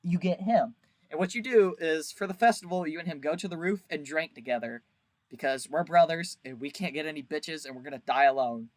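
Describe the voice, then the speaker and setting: A man's voice: on the high side, a little fast, dry recording. A man giving a casual, rambling video review as host, with a crude, jokey, exaggerated way of talking.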